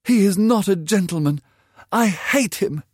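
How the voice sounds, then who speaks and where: A gushing young girl in love, her voice lifted high and swinging widely, as the storyteller puts her on. She speaks slowly and breathily, with a close, dry sound. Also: expressive, a little mellow, dry recording. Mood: defiant. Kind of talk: storybook reading.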